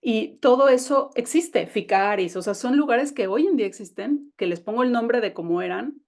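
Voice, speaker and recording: A woman's voice: fairly high pitch, expressive, moderate pace, a little mellow. Chatty Mexican woman novelist. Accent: Mexican Spanish. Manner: chatty, enthusiastic, many restarts. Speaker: novelist guest. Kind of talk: casual conversation over a call. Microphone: video call.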